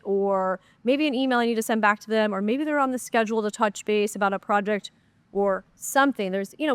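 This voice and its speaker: A woman podcast host chats away on her own show, her voice slightly high and stuffed up from a cold. She talks upbeat and casual, full of fillers. The close mic sounds dry, with no room around her. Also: clear, resonant tone, dry recording. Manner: upbeat, chatty, many fillers. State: congested, nasal from a cold. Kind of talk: solo podcast Q&A, casual chat.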